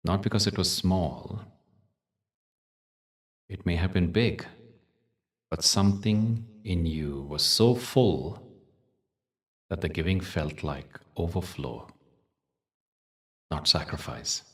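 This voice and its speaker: A man leading a guided meditation in a soothing, measured way, leaving long pauses between short phrases. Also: deep voice, a bit bright, recorded from a distance. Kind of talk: guided meditation with spoken teaching.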